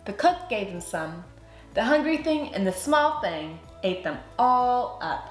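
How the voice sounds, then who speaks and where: A woman narrating a children's storybook as a read-aloud host, with expressive, clearly phrased delivery. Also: mid-range voice, measured pace. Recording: roomy sound, music underneath.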